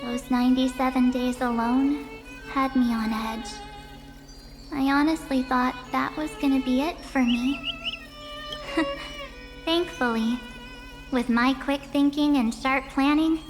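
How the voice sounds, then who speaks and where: Bubbly anime-game girl, high voice, heartfelt n a bit cocky, big reverb, music under it. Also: fairly even intonation, a little slow, long pauses. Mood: wry, boastful. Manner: playful, boastful, heartfelt. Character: bubbly young heroine who loves photography and her journal. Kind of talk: English video game voice acting.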